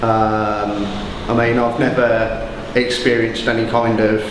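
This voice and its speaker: Man, 30s, hesitant and even-toned, recorded in a noisy echoing hall. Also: fairly low pitch. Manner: measured, hesitant. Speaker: hostel resident. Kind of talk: documentary interview. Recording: echoey hall with noise.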